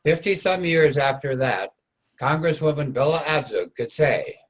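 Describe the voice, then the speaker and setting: A man's voice: fairly high pitch, expressive, normal speed. A man reading scripted narration as a narrator in a reader's theater.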